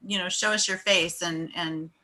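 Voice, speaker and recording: A woman's voice: fairly low pitch, steady tone, normal speed, background noise. A woman informally hosting a group video call in a casual, conversational style. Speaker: host of the table read.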